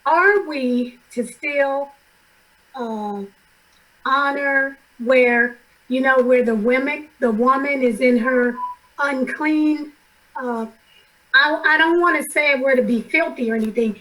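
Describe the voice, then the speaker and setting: A hoarse middle-aged woman on an online study call, asking a question hesitantly and restarting her sentences as she works out what she wants to ask. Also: high voice, takes it slowly, a bit bright, poor audio.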